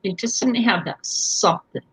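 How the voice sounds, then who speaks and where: A woman's voice: medium pitch, moderate pace, a bit bright, slightly rough, low-quality recording. A woman hosting a live online chat show, talking casually and laughing often.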